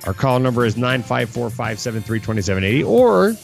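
A male co-host with a medium-pitched voice talks casually on a live show. He sounds opinionated and matter-of-fact, and faint music plays behind him. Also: sing-song, fast talker, creaky.

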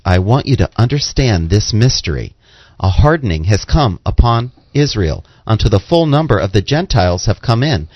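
A man's voice: medium pitch, no room sound, dull audio. A man co-hosting a religious radio show, reading scripture and scripted announcements. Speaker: radio co-host and scripture reader.

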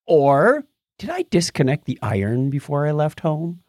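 Animated higher-pitched American man hosting. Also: normal speed, no room sound, clean studio sound. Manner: jokey, playful. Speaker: podcast host. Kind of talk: lighthearted casual podcast chat.

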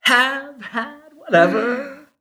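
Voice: high-pitched, drawn-out words, pushed and bright, exaggerated voice. A performer voicing a needy, jilted deal website as a weepy, whiny ex in a live radio-style comedy sketch. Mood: pleading. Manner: melodramatic, whiny, stammering pleading. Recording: live unedited stage performance.

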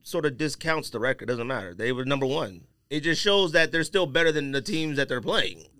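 A man's voice: medium pitch, animated, a little fast, pushed and bright, no echo. A man co-hosting a podcast, bantering and debating sports in a slangy, emphatic way. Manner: slangy, emphatic, repeats points. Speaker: sports and sneaker podcast co-host. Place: podcast studio.

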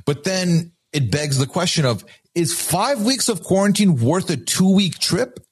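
A man's voice: sits high, animated, measured pace, husky. A man from Dubai co-hosting a casual podcast, recapping a reality show with emphatic, opinionated remarks. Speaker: podcast co-host.

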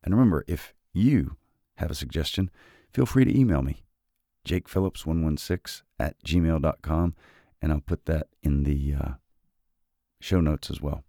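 A man hosting a literature podcast who reads classic poetry slowly and softly in a relaxed, unhurried way. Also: no room sound, clean studio sound. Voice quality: very deep, gravelly voice. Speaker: podcast host and reader. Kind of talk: slow, measured poetry reading.

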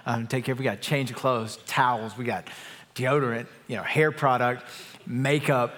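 Pastor preaching in a reverberant hall. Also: male voice, medium pitch, steady tone, moderate pace. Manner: warm-up, joking, repeats words. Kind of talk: sermon. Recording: long reverb of a large hall.

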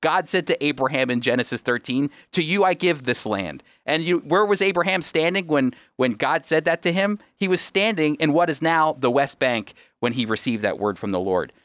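Emphatic American radio teacher, dry sound. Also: male voice, fairly high pitch, lively intonation, normal speed, hardly any pauses, a bit bright, no room sound. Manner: emphatic, explanatory. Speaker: radio host and Bible teacher.

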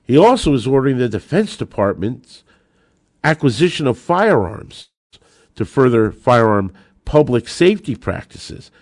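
Animated, sarcastic American man. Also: medium pitch, unhurried, no room sound, studio quality. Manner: emphatic, sarcastic, rhetorical questions answered by himself. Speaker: podcast host and lawyer. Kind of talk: opinionated talk podcast commentary.

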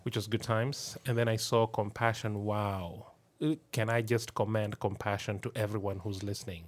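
A man's voice: on the low side, level tone, moderate pace. A man on a podcast earnestly telling of a mission trip, often repeating his words. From Kenya. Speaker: podcast co-host, pastor. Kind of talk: casual podcast chat.

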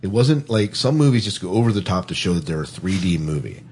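Very deep-voiced man, a bit husky. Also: big pitch swings, quick pace, darkish tone. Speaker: podcast host. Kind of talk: casual film review discussion.

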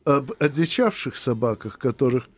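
A man's voice: medium pitch, animated, normal speed, no room sound, soft background music. A man hosting a radio call-in show, speaking in a dry, matter-of-fact way. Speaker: host.

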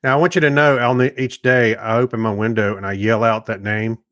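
A man's voice: mid-range voice, fairly even intonation, a little fast, a bit bright, no room sound. Laughing, rambling man, dry close sound. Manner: rambling, laughs often, restarts. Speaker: podcast co-host. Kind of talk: casual banter.

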